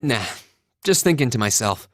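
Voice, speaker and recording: A man's voice: medium pitch, expressive, moderate pace, echoey room, big-room sound, natural voice. An actor playing a thoughtful young male academy student, speaking casually and reflectively in a video game. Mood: casual, friendly. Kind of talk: game voice acting, musing dialogue.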